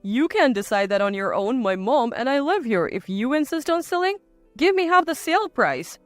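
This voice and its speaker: A woman's voice: high voice, big pitch swings, moderate pace, no echo, faint music behind. A woman voicing an arrogant, scornful husband in a dramatized story, with blunt delivery. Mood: angry, indignant. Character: arrogant, scornful adult husband, quick to bluster.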